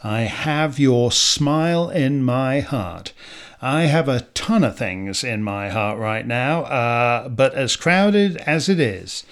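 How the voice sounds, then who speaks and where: A middle-aged man, hoarse from losing his voice, hosting and introducing a weekly song in a gentle, reflective, sentimental way. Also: medium pitch, lively intonation, slow. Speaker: host.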